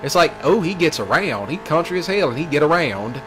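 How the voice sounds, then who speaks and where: A man's voice: fairly high pitch, fairly quick, soft background music. A man with a Southern US accent, a streamer rambling casually in a folksy, brash way with rural slang, often repeating himself. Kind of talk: casual rambling rant over game play. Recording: noisy, with steady vehicle sounds behind.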